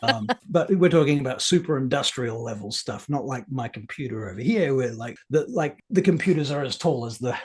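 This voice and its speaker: Man, slightly rough-edged voice, podcast co-host, casual explainer, rambling, animated, mildly sweary. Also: medium pitch, brisk, hardly any pauses. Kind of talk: casual explanatory chat about tech news.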